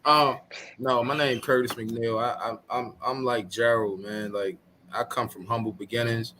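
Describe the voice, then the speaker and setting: A man from Baltimore, an actor, joining an interview by video call from a film set, animated and joking in casual slang. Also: mid-range voice, normal speed, noisy background. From Baltimore, USA. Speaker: actor guest.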